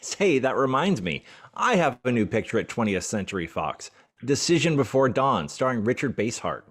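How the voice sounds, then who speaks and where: An actor playing a self-promoting film star trading scripted banter on an old-time radio show. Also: male voice, mid-range voice, sing-song, normal speed, breathy edge. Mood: breezy, promotional.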